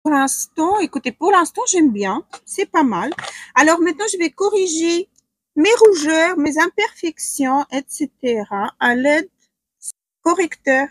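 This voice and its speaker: A woman's voice: high-pitched, expressive, unhurried, no echo. A woman in her forties from Ukraine, speaking with a Ukrainian accent as a non-native speaker, chatting casually through a makeup tutorial at home with frequent hesitant fillers. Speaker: beauty vlogger. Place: small room at home, indoors.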